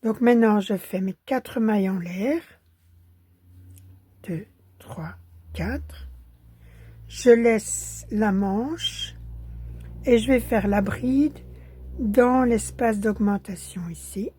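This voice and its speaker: A woman with a Belgian French accent, hoarse from a cold, calmly teaching a crochet pattern step by step and counting stitches under her breath. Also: mid-range voice, slow pace, long pauses, dry recording.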